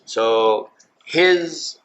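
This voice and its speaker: A man's voice: fairly high pitch, lively intonation, drawn-out words, fairly bright tone, smooth, lo-fi sound. A man in his fifties, from Canada, chatting casually as a dinner guest with short, agreeable replies. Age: 50s. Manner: easygoing, brief agreeable replies. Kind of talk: casual chat over a meal.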